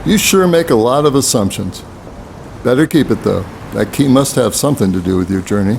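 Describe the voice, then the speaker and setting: A man's voice: mid-range voice, expressive, a little fast, gravelly, a little mellow, slight background noise. An actor playing a cryptic, impatient boatman in an audio drama, blunt and goading as he gives riddling counsel. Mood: scornful.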